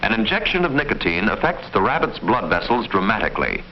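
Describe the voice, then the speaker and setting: A man reading formal, measured, didactic scripted narration in the style of a mid-century educational film. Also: lowish voice, wildly swooping pitch. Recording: muffled, noisy old film soundtrack.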